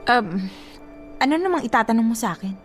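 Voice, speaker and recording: A woman's voice: a little high, lively intonation, a little fast, soft background music, lightly acted. An actress as a nervous, defensive young woman. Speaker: supporting character. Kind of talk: radio drama acting.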